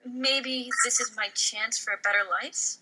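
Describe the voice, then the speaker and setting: Bold young female thrill-seeker read by a woman for a mafia spoof: high, very bright, flippant, swinging intonation. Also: fairly even intonation, a little slow, heavily acted, low-quality recording. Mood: flippant, eager. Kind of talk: casual comedy table read.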